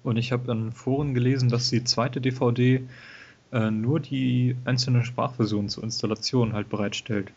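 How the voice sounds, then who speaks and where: A man co-hosting a casual gaming podcast, talking in a relaxed, hesitant way with many fillers. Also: medium pitch, normal speed, slightly rough. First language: German. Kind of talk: casual game review chat. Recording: dull lo-fi home recording.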